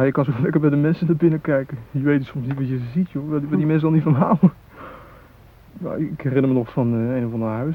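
Husky, chatty actor as a young student. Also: male voice, mid-range voice, natural voice. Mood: amused, chatty. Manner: rambling, colloquial. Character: chatty, naive young technical-school student. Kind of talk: radio drama dialogue, casual chat. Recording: tinny phone-like old radio recording.

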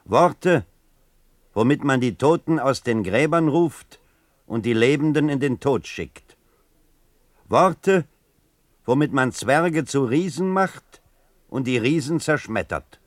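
A man's voice: medium pitch, expressive, slow pace, long pauses, no room sound, clean studio sound. A man reading literary prose as a dramatic recitation, solemn, emphatic and rhetorical. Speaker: reader.

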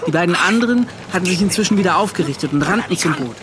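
High-pitched ship's officer, haunted, pleading, agitated confession, radio drama, noisy backdrop. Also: male voice, animated. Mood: urgent recounting. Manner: agitated, pleading, halting then rushing. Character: tormented, defensive male ship's officer recounting a disaster.

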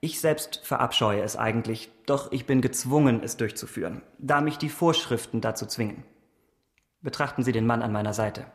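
A man's voice: medium pitch, natural voice. Man acting precise, insistent police investigator. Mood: formal, controlled. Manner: precise, formal, deliberate. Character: dogged, correct police investigator, coolly insistent. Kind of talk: German radio play acting.

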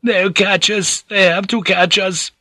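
A man's voice: high-pitched, steady tone, measured pace, bright, forceful tone, no echo, studio quality, voice pushed up. A man voicing a frightened, weeping male villager in an audiobook, full of panic. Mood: terrified, tearful.

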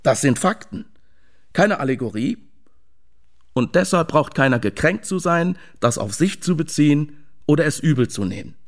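An actor playing a wry, misanthropic fish lover in a German radio drama, telling things in a deliberate, matter-of-fact way. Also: male voice, a little high, animated. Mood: dry, sardonic.

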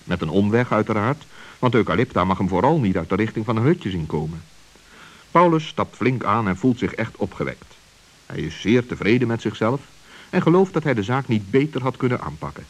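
A man narrating a children's radio play with expressive, storybook delivery. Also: fairly low pitch, brisk.

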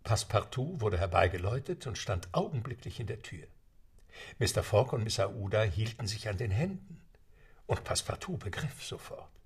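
A man's voice: on the low side, very animated, normal speed, husky. A man narrating a radio play in a vivid storytelling manner.

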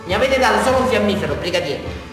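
A man's voice: high-pitched, lively intonation, quick pace, music in the background. An actor playing a rambling, unhinged man with a southern Italian accent confessing a crime in a theatrical radio monologue. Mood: agitated. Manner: rambling, repetitive, addressing a police officer, swinging from muttering to outbursts. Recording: very echoey hall, far from microphone.

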